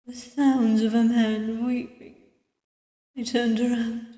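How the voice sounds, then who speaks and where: A woman voicing a reserved, weary detective who narrates a visual novel in a measured, dramatic way. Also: fairly high pitch, flat delivery, dark tone. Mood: eerie, hushed. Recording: distant mic, echoey long reverb.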